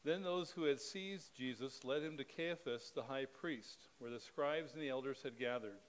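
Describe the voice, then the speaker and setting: A man's voice: mid-range voice, low-quality recording. Middle-aged man reading liturgy at a pulpit. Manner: measured, steady reading cadence. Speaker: pastor. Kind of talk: leading a spoken liturgy. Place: church sanctuary, at a pulpit. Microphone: pulpit microphone.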